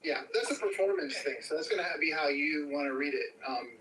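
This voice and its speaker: A man giving casual, reassuring coaching to actors, often halting and repeating himself as he goes. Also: fairly high pitch, pushed and bright. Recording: echoey room, distant mic, faint noise.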